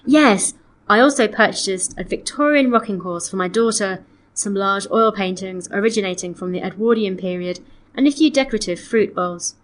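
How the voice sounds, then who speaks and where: A woman playing a polite customer on a complaint call, reading scripted dialogue in a measured, clearly enunciated way. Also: mid-range voice, lightly acted. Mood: polite, matter-of-fact. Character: polite woman customer phoning to report missing, damaged antiques. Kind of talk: scripted listening-test dialogue.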